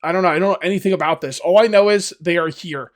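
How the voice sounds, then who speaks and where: A man with a hoarse, fading voice, casually commenting on his survival game as he plays and rambling to his viewers. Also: sits high, fast talker. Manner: rambling, self-correcting, talks to viewers. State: losing his voice. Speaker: gaming video host. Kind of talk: casual let's-play commentary.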